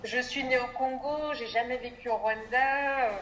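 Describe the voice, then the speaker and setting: A woman's voice: fairly high pitch, steady tone, moderate pace, fairly bright tone, echoey room, slight background noise. A woman in her twenties from Belgium, a podcast guest speaking thoughtfully with frequent hesitations. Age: 20s. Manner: thoughtful, many hesitation fillers. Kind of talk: reflective interview conversation.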